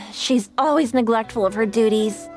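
A woman's voice: a little high, faint music behind, lightly acted. A woman voicing a polite, dutiful young shrine maiden who speaks formally. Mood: composed. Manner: formal, courteous, measured. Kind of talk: game voice acting.

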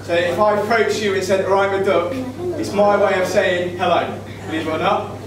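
A man's voice: high voice, steady tone, brisk. Young man from Nottingham, easy-going presenter; hall echo, distant mic. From Nottingham, England. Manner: casual, explaining slang. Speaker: student presenter. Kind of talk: stage presentation. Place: school auditorium stage. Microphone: handheld mic. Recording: echoey hall, far camera, crowd.

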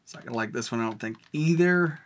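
A tech video host giving a casual, rambling walkthrough of a software tool, thinking aloud while clicking. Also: medium pitch, fast talker.

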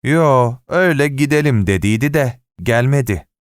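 A man's voice: mid-range voice, lively intonation, normal speed, clear, resonant tone, no echo, studio quality, pitched above the performer's own voice, close to an everyday voice. A man voicing a meek, passive man who is easily led, with a hesitant, resigned manner, in an audiobook story. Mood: unsure. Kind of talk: audiobook character dialogue.

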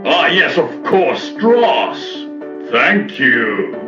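An actor playing a friendly, jolly cartoon animal builder in a children's game. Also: male voice, a little high, big pitch swings, very slow, exaggerated voice. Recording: dull, roomy lo-fi game audio. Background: loud music.

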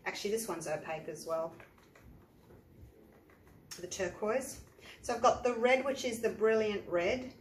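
An Australian woman, an art teacher, chatting step by step through a painting tutorial with a croaky voice from the flu. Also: mid-range voice, measured pace, pauses often. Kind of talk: tutorial narration. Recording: echoey room, faint noise.

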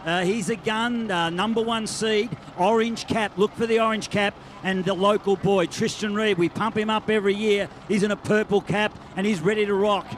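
A man's voice: high voice, moderate pace, hardly any pauses, slight background noise. A man giving live, chatty race commentary outdoors at a beach event. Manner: chatty, excitable.

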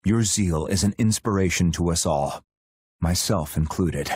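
A man voicing a stoic, loyal royal guardian in a video game, husky, solemn, terse and formal. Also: deep voice, a little slow, darkish tone, no echo, studio quality. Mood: sincere, respectful. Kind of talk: video game battle voice lines.

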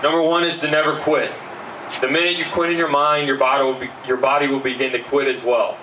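A man's voice: medium pitch, brisk. Earnest young man reading a speech outdoors. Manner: earnest, steady, fillers between points. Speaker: keynote speaker at a benefit. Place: outdoor backyard party. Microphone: handheld mic, recorded by far camera. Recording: distant roomy outdoor sound, faint hum.

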